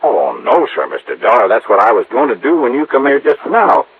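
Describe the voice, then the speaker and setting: An actor playing a slow-witted, eager-to-please handyman with a folksy, rambling, deferential manner in an old radio drama. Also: medium pitch, wildly swooping pitch. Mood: helpful, deferential. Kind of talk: old-time radio acting. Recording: muffled, noisy vintage radio recording.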